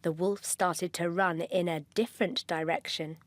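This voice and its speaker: A woman's voice: on the low side, steady tone, normal speed. A woman storyteller reading a scripted children's tale as narrator. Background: music.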